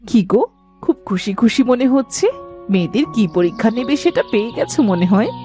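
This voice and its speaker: A woman playing a chatty, teasing middle-aged village wife and mother in a cartoon folktale, expressive and full of questions. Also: on the high side, a little fast, faint music behind, close to an everyday voice. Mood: teasing, curious. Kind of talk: animated folktale dialogue acting.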